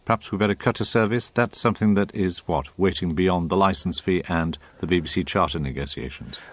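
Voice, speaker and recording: A man's voice: low voice, fairly quick, no room sound. A British man hosting a radio programme, presenting and interviewing in a pointed, wry manner. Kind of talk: scripted presenting and probing interview.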